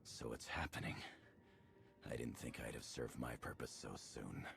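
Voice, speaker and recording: A gravelly, defiant Jedi soldier. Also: male voice, extremely low pitch, fairly even intonation, moderate pace, heavily acted. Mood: grave, resolute. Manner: declamatory battle cries. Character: defiant Jedi soldier man, zealous and battle-hardened. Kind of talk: video game voice acting. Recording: loud game music underneath. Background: loud music.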